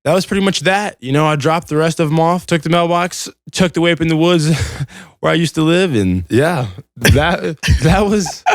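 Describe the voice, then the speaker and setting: A man's voice: a little high, moderate pace, no room sound, clean studio sound. Young man swapping stories. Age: young adult. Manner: informal, meandering, slangy, quotes dialogue in retellings. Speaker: podcast storyteller. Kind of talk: casual comical storytelling.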